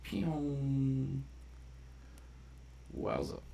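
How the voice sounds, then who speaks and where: A man's voice: mid-range voice, little pitch movement, drawn-out words, vocal fry, dark tone. A man giving casual, unscripted gameplay commentary, rambling off on tangents as he explains game mechanics in detail. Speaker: game streamer and narrator of a playthrough.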